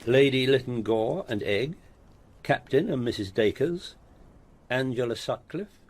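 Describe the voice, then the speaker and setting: Genteel English gentleman, lively intonation. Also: medium pitch, unhurried. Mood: polite, intrigued. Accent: upper-class English. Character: meticulous, curious, genteel older English gentleman, male. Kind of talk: radio drama dialogue.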